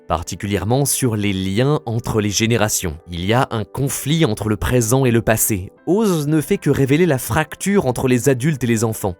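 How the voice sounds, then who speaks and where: A man's voice: mid-range voice, a little mellow. A man narrating a scripted video essay with casual humour, as the storyteller.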